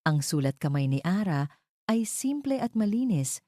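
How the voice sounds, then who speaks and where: A woman's voice: medium pitch, sing-song, normal speed, mellow, no echo, clean studio sound. A woman reading a scripted romantic drama as an audio story narrator.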